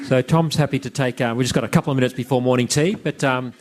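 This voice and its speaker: A man moderating a conference session, briskly organising audience questions. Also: mid-range voice, steady tone, heavy background noise. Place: conference hall.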